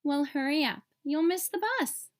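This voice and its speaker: Mother turtle woman reader, children's picture book, high register, motherly, caring, a bit busy. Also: normal speed, natural voice. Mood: hurrying.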